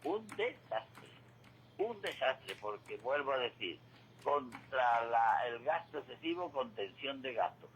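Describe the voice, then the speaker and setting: A man's voice: medium pitch, lively intonation, slow pace, a little hiss or hum, poor audio. A man giving opinionated, conversational radio commentary in an emphatic, explanatory way, often repeating his points. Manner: emphatic, didactic, repeats points and asks rhetorical questions. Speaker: radio commentator on current affairs.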